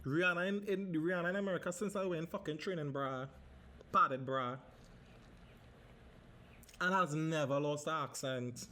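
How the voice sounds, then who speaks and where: A man's voice: on the high side, normal speed, pauses often, pushed and bright. A man in his thirties from Trinidad with a Trinidadian accent, hosting a casual solo podcast, swearing freely and slipping into Caribbean dialect. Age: 30s. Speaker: podcast host.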